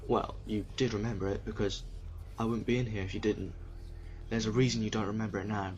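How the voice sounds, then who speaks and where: Male reader playing compassionate time traveller, low pitch, grave, apologetic, gentle explanations, audio drama. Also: flat delivery, brisk, soft background music, lightly acted. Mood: sorrowful, gentle. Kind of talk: fan audio drama reading.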